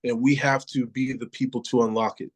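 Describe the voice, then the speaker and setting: A man with a congested voice from a cold answers interview questions earnestly, filling his pauses with ums and uhs. His voice sits at a middling pitch. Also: little pitch movement. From Pittsburgh, Pennsylvania. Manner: earnest, with frequent fillers. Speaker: school board candidate.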